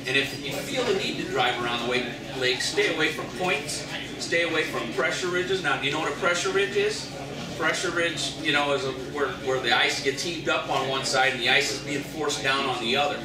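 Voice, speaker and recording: A man's voice: on the high side, fairly quick. A middle-aged man, a fishing guide, giving a folksy storytelling seminar talk to an audience in a large indoor shop. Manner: storytelling, folksy, repeats words. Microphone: far camera, no mic. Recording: echoey room from far off, loud crowd.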